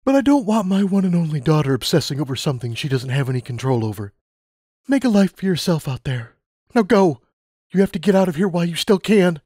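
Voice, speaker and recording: A man's voice: a little high, expressive, fast talker, darkish tone, dry recording, studio quality, pitched above the performer's own voice. A man voicing a firm, loving pony mother who is tearful.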